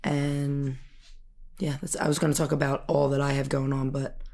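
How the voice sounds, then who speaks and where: A woman's voice: extremely low pitch, flat delivery, moderate pace, dark tone. An American woman in her thirties chatting casually as a guest in an interview. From Bucks County, Pennsylvania, United States. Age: 30s. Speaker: podcast guest, fitness and gymnastics coach.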